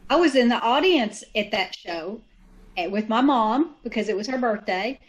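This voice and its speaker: A woman's voice: on the high side, lively intonation, normal speed, rough recording. A woman who belongs to a community theater troupe, chatting casually over a video call. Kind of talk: casual chat.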